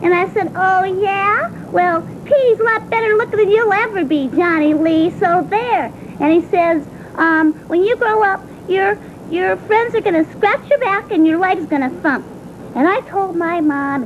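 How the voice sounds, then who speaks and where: A sulky, aggrieved little schoolgirl. Her voice is extremely high and whiny, rambling with childlike indignation through a monologue. The sound is thin and phone-like with faint noise. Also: normal speed. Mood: indignant. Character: sulky, aggrieved young schoolgirl, naive and foul-mouthed. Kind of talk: character monologue. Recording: tinny phone-like band, faint rumbling noise.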